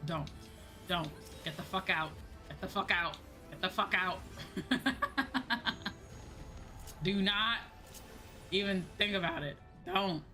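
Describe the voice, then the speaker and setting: A young American woman livestreamer chatting casually, animated and sweary, acting out exchanges with exasperation. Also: mid-range voice, slow, pauses often. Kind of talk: casual chat while gaming on stream. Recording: background music under the voice. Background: music.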